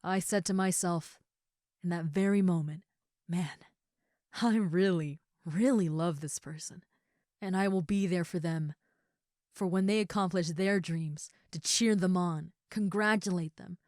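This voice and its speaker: A gentle giant of an ogre girl voiced by a woman, speaking in a slightly low voice, very close and dry. She is tender and sincere, pausing as she searches for words and often dropping into breathy softness, as in a comfort roleplay. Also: dry recording, natural voice. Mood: earnest, reassuring. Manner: soft, breathy asides, halting, tender, sincere. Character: gentle, affectionate giant female ogre roommate, shyly confessing. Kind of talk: intimate comfort roleplay audio.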